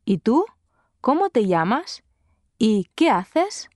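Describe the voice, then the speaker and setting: An actress playing a cheerful, sociable young woman in a slow, scripted dialogue for language learners. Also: a little high, big pitch swings, darkish tone, no echo, clean studio sound.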